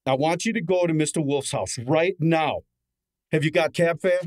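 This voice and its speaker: A man's voice: on the high side, normal speed, a little mellow, no room sound, studio quality, natural voice. A man playing a brash, wisecracking detective's assistant and narrator in a snappy old-time radio drama style. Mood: urgent, commanding. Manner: snappy, hard-boiled, wisecracking.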